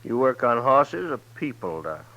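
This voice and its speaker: An actor playing a cocky, menacing Old West gunfighter in a 1950s radio western, taunting in rustic speech. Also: male voice, mid-range voice, very animated, moderate pace, dull audio. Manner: taunting, rustic drawling grammar.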